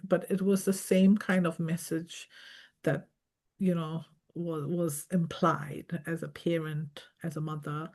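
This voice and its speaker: A woman's voice: fairly low pitch, dark tone. A middle-aged woman from India, hosting a remote conversation in a reflective, chatty way with many fillers and asides. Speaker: podcast host. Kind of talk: conversational interview chat.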